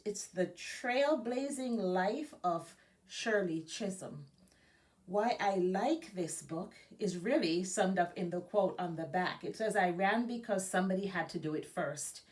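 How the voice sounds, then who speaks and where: A woman's voice: mid-range voice, lively intonation, a little slow, darkish tone. A woman from Jamaica, an education nonprofit director, reading aloud for a children's story time with informal asides.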